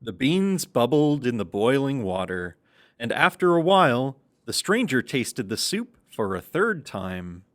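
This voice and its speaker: Narrator, male: mid-range voice, very expressive intonation, scripted storytelling for young children. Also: mid-range voice, a little slow.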